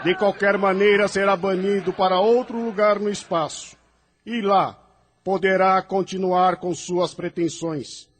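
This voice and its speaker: Man playing a high-voiced, solemn spirit emissary. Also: fairly even intonation, a little slow. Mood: grave appeal. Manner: solemn, formal, exhorting. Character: solemn, benevolent exalted spirit emissary, male. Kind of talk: audio drama acting.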